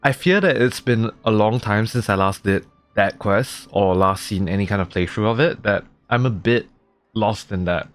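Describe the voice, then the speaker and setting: A man's voice: lowish voice, moderate pace, soft background music. A man streaming a game with casual, reactive, rambling commentary. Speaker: gaming streamer.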